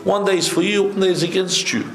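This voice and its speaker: A middle-aged man giving a conversational sermon from a seated position, speaking into a stand microphone with lively gestures and asides. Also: sits high, fast talker. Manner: animated, conversational preaching with many asides, gesturing. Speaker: sheikh giving a majlis lecture. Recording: echoey hall.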